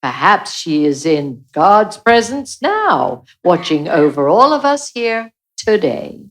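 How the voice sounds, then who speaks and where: An actress in a radio play playing a consoling funeral officiant, measured, reverent and solemn. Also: female voice, lowish voice, big pitch swings, exaggerated voice.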